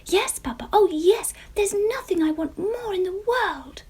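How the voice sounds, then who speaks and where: A woman voicing an excitable young girl pumpkin who gushes in a squealing, breathless voice. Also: measured pace. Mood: gleeful. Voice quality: squealing high voice. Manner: breathless, gushing.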